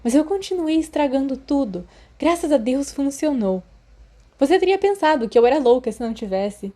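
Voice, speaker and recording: Teenage schoolgirl for audiobook fiction, played by a woman: eager, insistent, warm-hearted, pitched up. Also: expressive, normal speed. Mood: happy, relieved. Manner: emphatic, eager. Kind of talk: fan fiction audiobook character voice.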